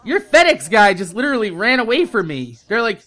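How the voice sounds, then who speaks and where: A man in his thirties telling a casual, exasperated story with heavy swearing, in the animated manner of a gaming YouTuber. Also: sits high, fairly quick. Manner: animated, heavily profane, exasperated.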